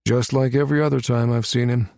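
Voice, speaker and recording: A man's voice: mid-range voice, sing-song, normal speed, no room sound, studio quality. A man voicing an irritable, pedantic male scientist with a fussy, indignant manner in an audiobook. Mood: annoyed, impatient.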